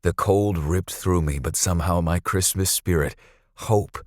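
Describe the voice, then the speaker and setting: Extremely deep, slow male storyteller. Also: no room sound, studio quality. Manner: suspenseful, deliberate. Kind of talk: dramatic scripted story narration.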